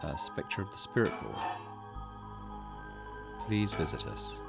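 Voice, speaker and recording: An eager teenage schoolgirl from a visual novel, read out by a man. She is feisty yet uncertain, and her pitch swings about in an animated way. Clear music plays behind the dialogue. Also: takes it slowly, heavily acted. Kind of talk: video game dialogue read aloud.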